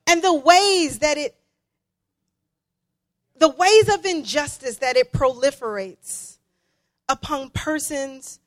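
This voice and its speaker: A woman delivers a sermon in a high, bright voice. She takes her time, repeating phrases deliberately so that they land with emphasis. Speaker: guest preacher.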